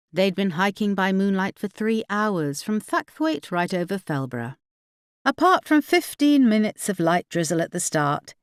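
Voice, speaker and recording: A woman's voice: fairly low pitch, no room sound, clean studio sound. A woman audiobook narrator reading dramatic fiction from a script.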